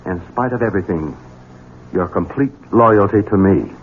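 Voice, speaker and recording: An actor playing a cold, scheming middle-aged master of a country estate, sardonic and domineering, in 1970s radio drama style. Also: male voice, low-pitched, moderate pace, slightly rough. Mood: menacing, controlling. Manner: sardonic, domineering, softly menacing. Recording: dull, noisy 1970s radio broadcast.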